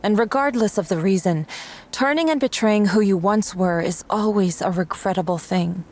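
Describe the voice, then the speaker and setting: A woman voicing a composed, reflective comrade in a video game, thoughtful and gently lecturing. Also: medium pitch, moderate pace, slight background noise, natural voice. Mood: reflective, regretful. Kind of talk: dramatic video game voice acting.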